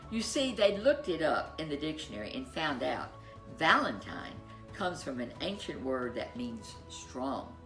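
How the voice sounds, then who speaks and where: A woman storyteller reading a children's tale in a playful, expressive way with drawn-out emphasis. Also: low voice, roomy sound, rough recording. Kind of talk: animated scripted children's story narration.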